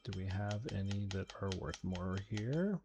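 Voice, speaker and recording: Low-voiced man narrating his gameplay. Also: expressive, a little slow, dull audio, background noise. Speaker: gaming video host. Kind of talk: casual gameplay commentary.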